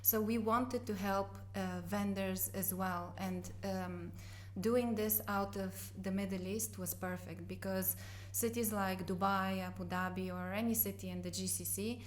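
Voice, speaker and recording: Interview guest: middle-aged woman, even and level delivery, measured business talk. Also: mid-range voice. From Bulgarian and Polish parents. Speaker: guest, company co-founder.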